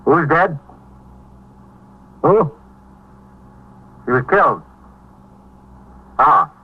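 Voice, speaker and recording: An actor playing a harried police desk sergeant with insistent, clipped questions, in a 1950s radio drama. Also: male voice, fairly high pitch, animated, extremely slow, breathy edge, exaggerated voice. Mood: businesslike, pressing. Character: harried male police desk sergeant taking emergency calls. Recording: dull old 1950s radio recording.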